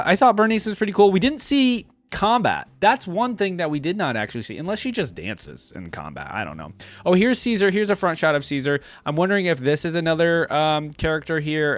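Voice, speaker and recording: A man's voice: fairly high pitch, no room sound. A young man giving casual, chatty, amused reaction commentary. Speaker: reaction video host.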